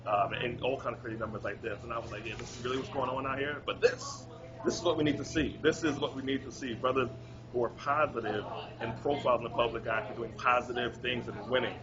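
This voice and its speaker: A man's voice: medium pitch, quick pace, lo-fi sound, crowd noise. Upbeat man hosting an interview. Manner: upbeat, encouraging, repeats himself. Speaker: interview host. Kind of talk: casual interview.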